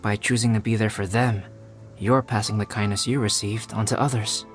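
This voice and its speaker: A man voicing a calm, scholarly young male alchemist in a video game, soft-spoken and measured as he explains things. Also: lowish voice, lightly acted. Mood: explaining. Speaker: game character. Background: game music.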